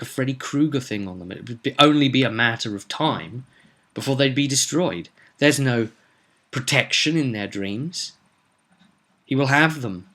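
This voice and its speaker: A man narrating a tabletop roleplay recap as game master, theatrical and emphatic, with deliberate pauses. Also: mid-range voice, fairly bright tone. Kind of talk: dramatic storytelling recap.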